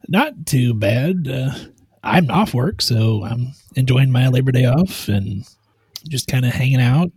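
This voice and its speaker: A man co-hosting a podcast, chatting in a relaxed, friendly way and reading out the show's plugs. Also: mid-range voice, unhurried, husky, no echo, studio quality. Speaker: podcast co-host. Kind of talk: casual chat.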